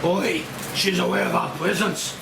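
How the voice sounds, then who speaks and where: A man plays a wary, weary doctor with a high, gravelly voice. He reads at a screenplay table read, far from the mic in an echoey, noisy room. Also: steady tone, normal speed. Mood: urgent warning.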